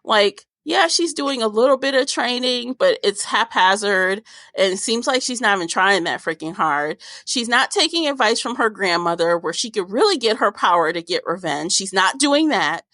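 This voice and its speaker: Opinionated woman podcaster chatting about books, bright voice, really animated. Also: mid-range voice, normal speed, dry recording. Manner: opinionated, informal. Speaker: podcast host. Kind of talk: casual book discussion chat.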